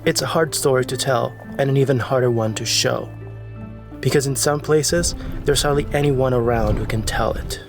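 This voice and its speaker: Guy narrating a documentary, gravelly edge to his voice, music under it. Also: medium pitch, normal speed. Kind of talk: scripted narration. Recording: music behind the voice. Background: background music.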